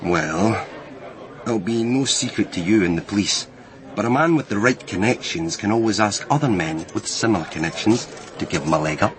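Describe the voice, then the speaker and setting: An actor playing a well-connected, guarded businessman, measured and self-important, in a radio crime drama. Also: fairly low pitch, expressive, crowd noise, close to an everyday voice, poor audio. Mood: solemn, guarded.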